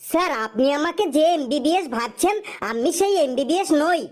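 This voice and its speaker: A woman's voice: high-pitched, lively intonation, moderate pace. A woman voicing a cheeky, smart-mouthed young jobless man in a comic cartoon, with glib, teasing back-talk. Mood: playfully earnest.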